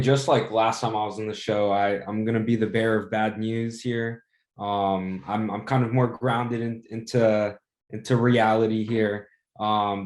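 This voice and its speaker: A young man with a lowish voice gives his game prediction in a measured, hedging way, dropping in frequent "um"s. He speaks in a very flat tone, and his voice echoes in the room. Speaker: guest analyst. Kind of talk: casual sports prediction.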